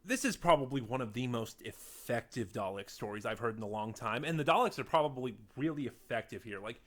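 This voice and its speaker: A man with a mid-pitched voice delivers a casual review straight to his viewers. He speaks without a script, halting as he finds his words. He leans on key points and lets his asides trail off more quietly. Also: expressive. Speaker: audio drama reviewer on a video channel.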